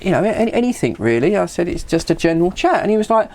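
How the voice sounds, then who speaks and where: A middle-aged British man talks in a casual, chatty way, like a hobbyist vlogger. His pitch moves about in a lively, animated fashion. He recounts conversations by acting out each side, often repeating words along the way. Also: fast talker, creaky. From United Kingdom. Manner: acts out remembered conversations, repeating words as he goes. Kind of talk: casual chat with anecdotes.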